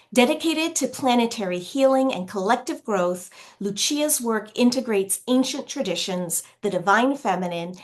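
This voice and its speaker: A woman academic host reading a formal scripted introduction of a presenter in a measured way. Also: mid-range voice.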